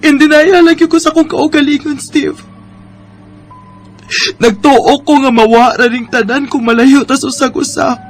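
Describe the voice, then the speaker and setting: Radio drama lead: woman playing lovesick young Cebuano Filipina, very high-pitched, earnest confession over soft music. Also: fairly even intonation, normal speed, lightly acted. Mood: hurt, pleading. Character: lovelorn young woman confessing long-hidden love to old friend. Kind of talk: Bisaya radio drama acting. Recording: music behind the voice.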